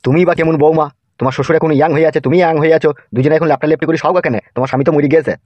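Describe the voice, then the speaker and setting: A man's voice: fairly high pitch, fairly even intonation, rapid-fire, dry recording, muffled recording, lightly acted. A man voicing a corrupt, dismissive junior policeman in a comedy cartoon, with a curt manner. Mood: scornful. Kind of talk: Bengali comedy cartoon dubbing.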